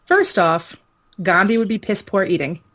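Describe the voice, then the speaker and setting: A woman's voice: medium pitch, big pitch swings, moderate pace, a bit bright. A woman in casual comedic chat, wry and self-deprecating, given to exaggeration. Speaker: podcast guest.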